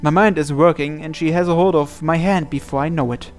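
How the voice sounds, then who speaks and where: Male gaming host, fairly high voice, story narration read aloud, faint music. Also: fairly even intonation, fairly quick. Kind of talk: reading visual novel narration aloud. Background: soft game music.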